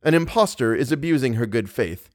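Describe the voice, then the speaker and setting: A man's voice: medium pitch, animated, brisk, no room sound, clean studio sound, lightly acted. A man voicing an anxious, courteous young nobleman in love, formal and impassioned. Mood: urgent, insistent.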